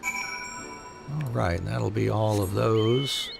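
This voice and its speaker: A man's voice: fairly low pitch, very slow, creaky, music in the background. A man giving casual commentary as he plays a video game. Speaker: game streamer.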